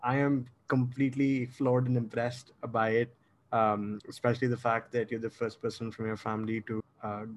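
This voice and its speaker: A man from India, co-hosting a podcast in a relaxed, slightly hesitant casual chat. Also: mid-range voice, moderate pace, low-quality recording. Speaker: podcast co-host.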